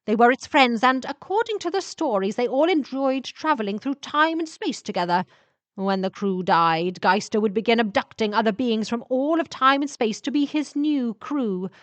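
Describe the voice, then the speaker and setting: Woman acting as male vampire wizard-scientist, proud, emphatic, expository, raised pitch, dry sound. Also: lively intonation, moderate pace, no room sound, natural voice. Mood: explaining.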